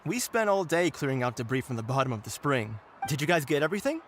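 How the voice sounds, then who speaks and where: A man's voice: mid-range voice, big pitch swings, quick pace, a little hiss or hum, natural voice. An actor playing an easygoing young man in a video game, speaking casually. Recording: light music behind the voice.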